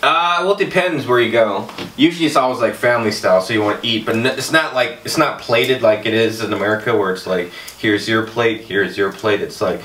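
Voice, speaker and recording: A man chatting casually as a guest on a panel, telling animated stories and breaking into high-pitched laughs. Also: fairly quick. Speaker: guest answering questions. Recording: roomy, distant mic, faint noise.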